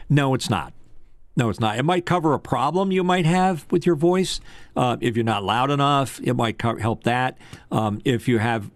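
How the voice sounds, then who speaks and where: Man, fairly low pitch, close dry mic, easygoing co-host who rambles and jokes at his own expense. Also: dry recording. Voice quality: deep voice with strong upper mids. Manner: loose, rambling, self-deprecating joker. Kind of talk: casual tech talk show chat.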